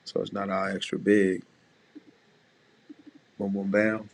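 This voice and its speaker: A man with a deep voice, a music producer casually talking beginners through mixing, often saying "you know what I'm saying". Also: completely flat delivery, slow pace, dry recording. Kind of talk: casual screen-share tutorial.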